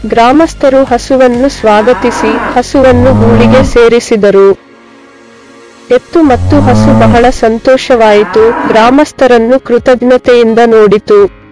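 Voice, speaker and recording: A woman's voice: fairly high pitch, fairly even intonation, slow pace, music in the background. A woman narrating scripted moral tales for children.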